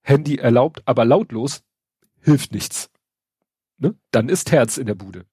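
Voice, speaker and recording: Ein Mann mit Schnupfen, der in lockerem Plauderton und mit trockenem Sarkasmus über Neuigkeiten spricht, oft mit Füllwörtern. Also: male voice, medium pitch, lively intonation, measured pace, dark tone, husky, dry recording, studio quality. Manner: wry, sarcastic, hesitant with many fillers. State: stuffy nose from a cold. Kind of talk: casual news chat.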